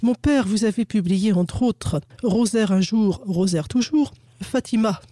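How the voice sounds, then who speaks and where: A woman hosting a radio interview in a formal, measured way. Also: medium pitch, dark tone, no echo, studio quality. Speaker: radio host.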